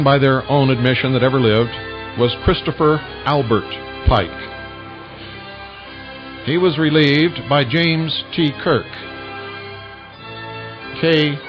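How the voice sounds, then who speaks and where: An American man hosting a talk radio monologue, speaking deliberately with dramatic pauses and a portentous, preaching manner, on an old shortwave recording. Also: medium pitch, muffled recording. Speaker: radio show host. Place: radio broadcast.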